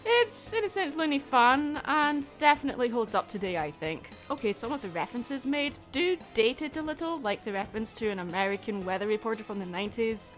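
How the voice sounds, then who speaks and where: High-voiced woman narrating a video essay. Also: a bit bright. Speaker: host of a cartoon review channel.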